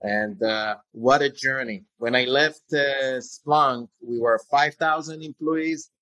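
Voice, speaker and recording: Bright-voiced Israeli-born male executive. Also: mid-range voice, slow, no echo. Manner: hesitant, many fillers and restarts. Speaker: guest, tech company executive. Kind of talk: conversational interview answers.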